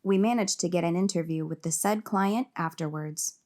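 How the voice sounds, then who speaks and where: A woman's voice: lowish voice, normal speed, dark tone, natural voice. A woman playing a serious TV news reporter, reading a scripted mock news voice-over.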